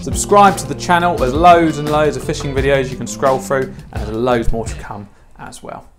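A young British man in his twenties talks through gear in a casual, informal unboxing style. His voice sits at a medium pitch, and he explains things in a chatty, matter-of-fact way. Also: moderate pace, faint music behind. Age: 20s. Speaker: fishing channel host demonstrating gear. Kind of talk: casual product unboxing and tutorial. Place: home kitchen, indoors.

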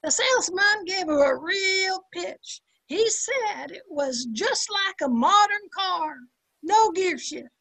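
A woman's voice: very high-pitched, lively intonation, takes it slowly, gravelly, pushed and bright, exaggerated voice, low-quality recording. An older woman playing a brassy, sardonic housemaid in an amateur old time radio sitcom, with slangy, punchy delivery. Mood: wisecracking. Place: indoor room at home, video call. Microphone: computer mic on a video call.

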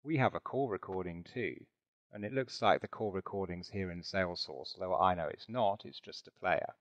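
Male presenter, lowish voice, informal and chatty, software demo narration, dry close sound. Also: normal speed, no echo, dull audio. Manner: chatty, informal, rhetorical asides. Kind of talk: conversational product demo narration with role-play.

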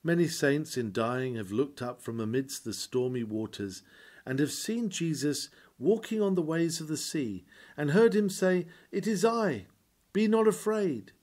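A man reads a sermon aloud with solemn, earnest delivery. His voice sits a little high yet has a soft, mellow tone. He declaims in an oratorical style, pausing for dramatic weight. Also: expressive. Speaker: sermon reader.

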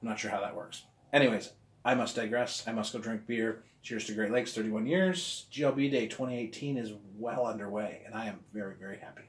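A man's voice: fairly low pitch, fairly even intonation, fairly quick, reverberant. Chatty middle-aged man reviewing beer. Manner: enthusiastic, chatty, talks with his hands. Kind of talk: casual beer review vlog. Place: room with walls of beer bottles, indoors.

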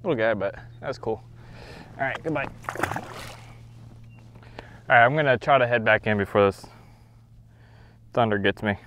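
A young man talks his way through a day on the water from his kayak, chatting to the viewers, to himself and to the fish he lands. His voice sits at an ordinary middle pitch and comes through an action camera mounted on the boat, with open-air lake sound and faint splashing of water behind him. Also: normal speed, pauses often, a bit bright, slight background noise. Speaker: fishing vlogger. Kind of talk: casual vlog narration.

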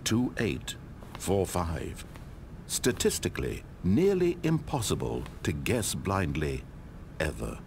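A man's voice: deep voice, very animated, slow, gravelly, background noise, exaggerated voice. An actor playing a droll, pompous male game narrator, theatrical and wry in his scripted storytelling. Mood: wry, solemn. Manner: theatrical, wry, mock-dramatic.

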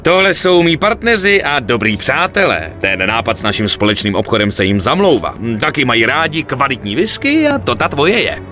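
An actor playing a chatty, eager young gangster schemer in a video game, talking colloquially. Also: male voice, medium pitch, few pauses, faint music behind. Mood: friendly. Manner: colloquial, animated storytelling. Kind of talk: video game cutscene acting in Czech.

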